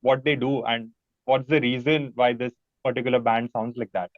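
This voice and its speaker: A man's voice: medium pitch, steady tone, moderate pace, no room sound. A man in his thirties with an Indian accent, chatting casually as an interview guest. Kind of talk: casual chat. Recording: dry, dull lo-fi sound.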